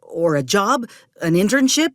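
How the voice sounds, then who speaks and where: A woman's voice: medium pitch, big pitch swings, measured pace, a bit bright, dry recording, studio quality. An actress playing an earnest, determined young woman soldier with folksy rural turns of phrase in a video game. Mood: hopeful pitch. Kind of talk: video game character dialogue.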